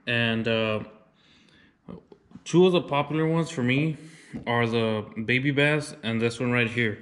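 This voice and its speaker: A man in his thirties casually showing his fishing gear as a vlogger, relaxed and plainspoken, saying "y'all". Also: mid-range voice, unhurried. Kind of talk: casual show-and-tell. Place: indoors, then a wooden deck.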